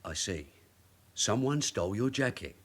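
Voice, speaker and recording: Shrewd veteran detective sergeant, lively pitch. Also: male voice, a little slow, full character voice. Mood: wry, probing. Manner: dryly sardonic, probing questions. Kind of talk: radio drama acting.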